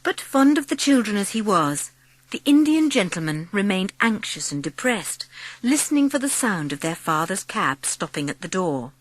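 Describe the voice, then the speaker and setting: Woman, audiobook narration, very varied intonation, slightly husky texture, dry sound. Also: medium pitch, fairly bright tone, no room sound. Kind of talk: scripted story narration.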